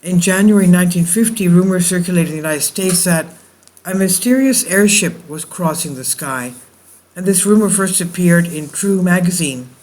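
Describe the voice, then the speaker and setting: A man hosting a video, reading an old news report and adding commentary in measured, halting phrases. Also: sits high, a little mellow, low-quality recording. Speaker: video host.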